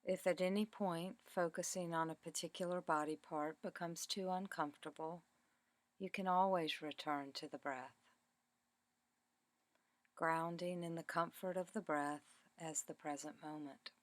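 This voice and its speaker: A woman leading a guided meditation in a calm, deliberate way, with measured phrases and pauses. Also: fairly low pitch, no echo. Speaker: meditation teacher. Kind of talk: guided meditation, body scan narration.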